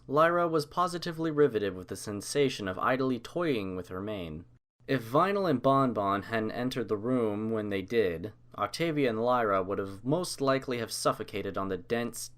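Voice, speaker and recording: A man's voice: on the low side, a bit bright. A man reading a scripted story as its narrator.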